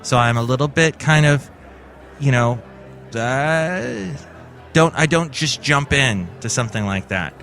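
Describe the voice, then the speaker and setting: A man's voice: medium pitch, animated, unhurried, creaky, fairly bright tone, soft background music. A man from California hosting his own podcast in a casual, rambling monologue full of jokey asides. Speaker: podcast host.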